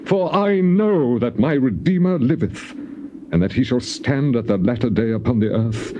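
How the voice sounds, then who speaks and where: A man's voice: mid-range voice, expressive, normal speed, lightly acted. A man playing an afflicted, anguished man in a dramatized scripture reading, declaiming with solemn passion against his friends. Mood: solemn conviction. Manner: declamatory, impassioned, solemn archaic delivery. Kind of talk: dramatized King James Bible reading, theatrical. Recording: old noisy cassette tape transfer.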